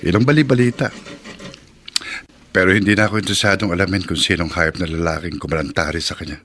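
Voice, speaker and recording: An actor with a Filipino accent playing a hurt but resolute abandoned husband in a radio drama, speaking earnestly and emphatically. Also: male voice, deep voice, steady tone, quick pace, fairly bright tone. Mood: bitter, resolute. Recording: noisy radio drama track with clicks.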